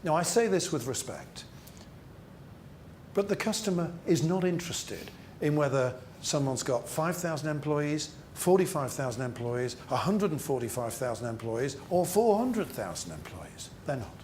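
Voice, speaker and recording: A man's voice: on the high side, sing-song, a little mellow. Emphatic male presentation trainer, slightly noisy room. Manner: emphatic, rhetorical questions and deliberate repetition. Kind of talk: interactive training seminar talk to a group.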